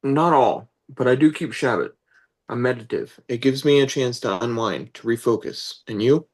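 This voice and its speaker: A man acting a calm, devout, gently direct character in a naturalistic table read. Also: medium pitch, normal speed, slightly rough, natural voice. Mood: calm, sincere.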